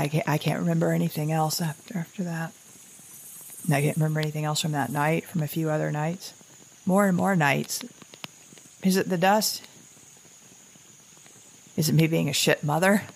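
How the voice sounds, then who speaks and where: An actress playing an anxious, guilt-ridden mother surviving alone after an apocalypse, in a halting, stammering audio drama monologue with a husky, gravelly voice. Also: lowish voice, low-quality recording. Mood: agitated, self-blaming. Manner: halting, stammering, repeating words.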